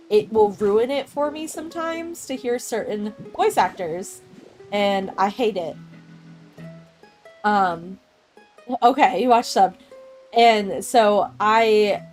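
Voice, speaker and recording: A woman's voice: medium pitch, a little mellow. Chatty young woman streamer, rambling. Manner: chatty, rambling, laughs often. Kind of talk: casual gameplay chat with viewers.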